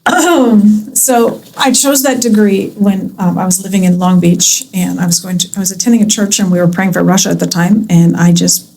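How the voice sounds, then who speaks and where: A woman's voice: mid-range voice, normal speed, creaky, few pauses, a little mellow, slight background noise, poor audio. A woman in her fifties from California, chatting as a podcast guest, talking freely with plenty of likes and ums and laughing easily. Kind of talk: casual interview chat.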